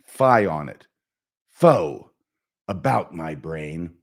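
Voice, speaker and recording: Anguished stage prince, played by a man: brooding Shakespearean soliloquy, slow and declamatory, hugely varied pitch. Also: animated, exaggerated voice. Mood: self-mocking disgust. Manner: declamatory, brooding, emphatic with dramatic pauses. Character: anguished young prince, self-loathing, melancholy, bent on revenge.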